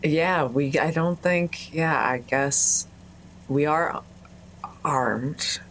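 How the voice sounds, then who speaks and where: A woman chatting casually as a player in a tabletop game, with hesitant, halting phrasing. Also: low-pitched, a bit bright, slight background noise.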